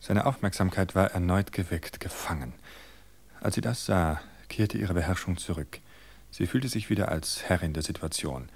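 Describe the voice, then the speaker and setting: A man reading a suspense story aloud in a steady, measured narration. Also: deep voice, vocal fry, slightly rough. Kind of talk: scripted audiobook narration.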